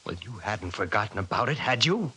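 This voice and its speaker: A forceful, domineering 1950s radio husband. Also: male voice, lowish voice, sing-song, fairly quick, breathy edge, heavily acted. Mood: excited, proud. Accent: American. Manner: stern, impatient, lecturing. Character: domineering, status-obsessed husband on a post-war committee. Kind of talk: 1950s radio drama acting.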